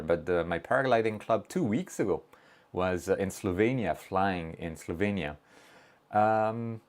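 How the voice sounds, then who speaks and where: A man's voice: on the low side, a little mellow. A man hosting a casual livestream, reading viewer messages aloud, joking about himself and explaining technical steps plainly. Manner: chatty, reads chat messages aloud, self-joking. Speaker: livestream host.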